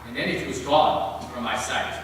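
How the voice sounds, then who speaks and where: Guy acting a wary spear hunter telling his tale, bright forceful voice, super echoey. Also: medium pitch, normal speed, far from the mic, natural voice. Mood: wary wonder. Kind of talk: theatrical storytelling, readers theater. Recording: echoey hall from afar, faint noise.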